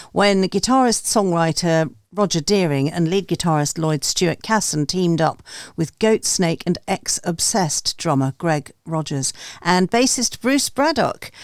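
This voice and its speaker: A woman broadcaster hosting a music review panel, speaking in a somewhat halting way. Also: lowish voice, no room sound, clean studio sound. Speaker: show host and broadcaster.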